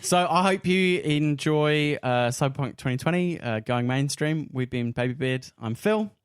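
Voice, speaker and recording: A man's voice: on the high side, normal speed, clear, resonant tone, dry recording, studio quality. An Australian man hosting a casual round-table chat, often hesitating as he searches for the right words. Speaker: podcast host and game referee.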